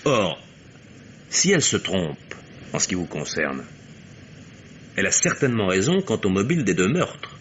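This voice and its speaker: An actor playing a shrewd, self-assured investigator in an old French radio mystery, with a measured, probing, faintly ironic delivery. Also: male voice, medium pitch, a bit bright. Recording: dull, hissy old radio recording.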